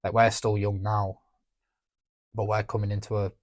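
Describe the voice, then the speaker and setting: A young man talking candidly on a podcast, reflective and halting, often restarting his sentences. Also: on the low side, little pitch movement, dry recording, clean studio sound. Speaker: podcast co-host. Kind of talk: candid emotional conversation.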